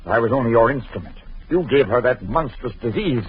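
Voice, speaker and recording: A man's voice: mid-range voice, lively intonation, fairly quick, slightly rough. An actor playing a desperate, murderous young man in an old radio drama, frantic and accusing. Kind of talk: 1940s radio acting. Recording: tinny old radio recording.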